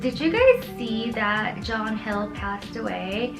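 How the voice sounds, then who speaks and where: A woman in her twenties chatting excitedly in a casual beauty haul vlog, gushing and giggly. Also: fairly high pitch, slow. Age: 20s. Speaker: beauty vlogger. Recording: echoey room with background music.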